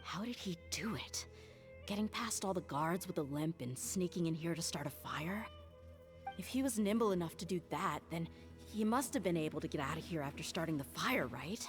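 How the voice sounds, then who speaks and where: A woman's voice: fairly low pitch, lively intonation, normal speed, natural voice. An actress playing a tough, blunt female mercenary leader in a game, firm and commanding. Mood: somber. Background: game music.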